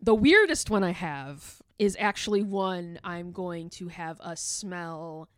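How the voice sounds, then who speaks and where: A woman's voice: on the low side, animated, slow, no room sound. A woman with an American Midwest accent, chatting casually and candidly as a podcast co-host, with strong opinions freely given. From Chicago, American Midwest.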